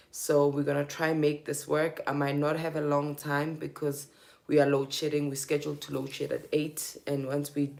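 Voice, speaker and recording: A South African woman teaching informally on a livestream as a spiritual healer, speaking earnestly in deliberate, repeated phrases. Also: deep voice, gravelly, darkish tone.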